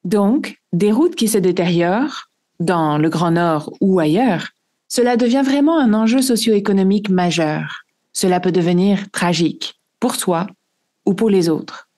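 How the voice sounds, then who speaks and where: Mellow-voiced woman hosting a podcast. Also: medium pitch, expressive. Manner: smooth, measured read. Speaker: podcast host. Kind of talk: scripted narration.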